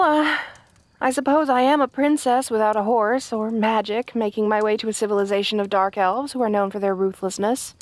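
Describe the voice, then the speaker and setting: A woman acts out a kind young fantasy princess with a fairly high voice, recorded close and dry. She is polite and earnest, a little naive, and hesitates as she explains herself directly to the listener. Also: dry recording, lightly acted. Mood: shy, grateful. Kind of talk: fantasy audio roleplay addressed to the listener.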